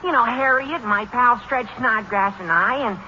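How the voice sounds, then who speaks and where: An eager teenage schoolboy, gushing and earnest, in a high, piercing voice. It is 1940s radio sitcom acting on a dull old recording with some hiss. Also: male voice, normal speed. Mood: eager, pleading.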